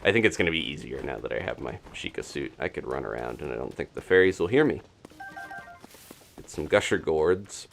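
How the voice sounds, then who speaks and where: Low-voiced man streaming a game. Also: animated, a little fast, gravelly, fairly bright tone, a little hiss or hum. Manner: rambling, thinking aloud. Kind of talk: live gameplay commentary with casual chat.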